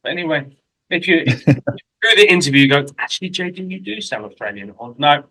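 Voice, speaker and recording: A man with a London accent hosts a relaxed interview, chatty and quick to poke fun at himself. His voice sits a little high and rings bright and forward. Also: rough recording. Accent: London English. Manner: chatty and self-deprecating, quick asides. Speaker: host. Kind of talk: casual interview chat. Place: lives in Mexico.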